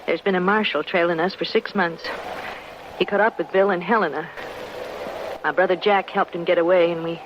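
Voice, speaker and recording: Actress as worried frontier wife on muffled radio. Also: female voice, fairly low pitch, fairly even intonation, fairly quick, pauses often, lightly acted. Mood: weary, bitter. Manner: hesitant, earnest, rustic folksy speech. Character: worried, plainspoken frontier wife with a wild past. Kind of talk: old-time radio western acting. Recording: muffled, noisy vintage radio broadcast.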